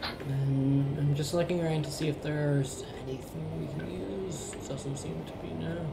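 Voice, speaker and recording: A man's voice: fairly high pitch, flat delivery, a little slow, loud music behind the voice. A young man chatting casually as he plays a co-op video game, thinking aloud hesitantly. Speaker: gamer playing co-op on a shared controller. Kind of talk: casual gameplay chat. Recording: roomy, clearly noisy home recording.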